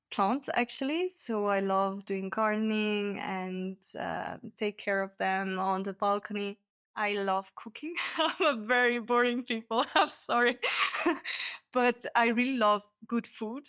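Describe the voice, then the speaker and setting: A woman's voice: medium pitch, a bit bright, smooth. Woman, laughing a lot. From Switzerland. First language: Italian. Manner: laughs often between sentences, cheerful. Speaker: guest, technical diver. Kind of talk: casual podcast interview.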